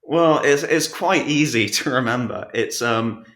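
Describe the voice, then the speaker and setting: A man chatting casually as an interview guest, hesitant and low-key, with a roomy sound around his voice. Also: expressive, unhurried, fairly bright tone. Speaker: podcast guest, audiobook narrator. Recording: echoey, roomy voice pickup.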